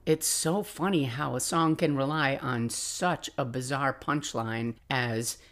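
An older woman hosting a podcast, telling personal stories in a casual, reflective, confiding and expressive way. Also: rumbling low, slow. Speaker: podcast host.

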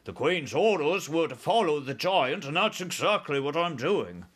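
A man acts out a young military pilot from a storybook. He is cheerful, fearless and unflappable. The voice is bright and fairly high, with a lively swing. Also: moderate pace, husky, full character voice. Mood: matter-of-fact. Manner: breezy, unflappable.

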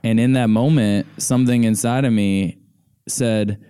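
Earnest, rambling American man, mellow voice. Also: medium pitch, steady tone, unhurried, smooth. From United States. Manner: earnest, meandering, heavy use of "like". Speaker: podcast guest. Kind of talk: casual conversational storytelling.

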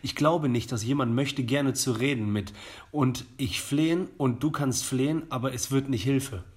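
A man's voice: mid-range voice, normal speed. A young man hosting a casual podcast, reading a scam email aloud in a mock-formal, joking way. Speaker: podcast host. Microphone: studio microphone.

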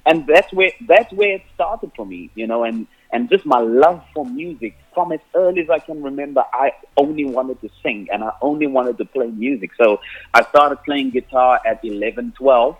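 A man's voice: medium pitch, moderate pace. Man with a dull radio sound. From South Africa, Cape Flats. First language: Afrikaans. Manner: rambling, many restarts, laughs often. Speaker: musician guest. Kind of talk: casual interview answers.

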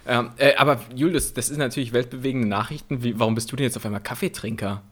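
A young man co-hosting a casual comedy podcast, excitedly spinning silly made-up scenarios. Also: medium pitch, fast. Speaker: podcast co-host. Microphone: remote call recording.

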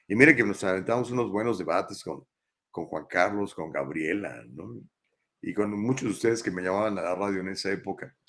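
A middle-aged man with a Mexican accent and a slightly low voice hosts a live show. He chats loosely, reading audience comments and answering each one. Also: fairly bright tone. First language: Spanish. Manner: casual, chatty, reads comments aloud and answers them. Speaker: talk show host.

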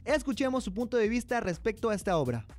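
A man's voice: high-pitched, sing-song, fast talker, darkish tone, soft background music. A man presenting and narrating on radio in a scripted, formal announcer style.

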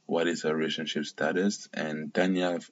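A man's voice: extremely low pitch, flat delivery, moderate pace, lo-fi sound, slight background noise. A man from Cameroon casually recapping a reality TV episode, rambling and opinionated, often correcting himself mid-sentence.

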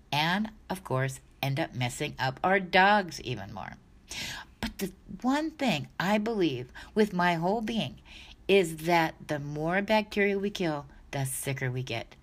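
A woman's voice: low voice, a bit bright. A woman in her sixties talking casually to camera about dog health, chatty and rambling, often restarting her sentences. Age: 60s. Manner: chatty, rambling, self-correcting.

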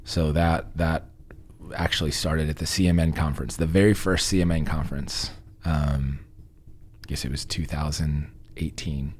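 A very deep, gravelly male voice, a little husky and stuffy from a lingering cold. He talks casually and tells stories with a dry, joking streak. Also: fairly even intonation, moderate pace. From Texas. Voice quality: raspy, deep. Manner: joking, storytelling. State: congested from a cold. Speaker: podcast guest, church leader. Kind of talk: casual podcast conversation.

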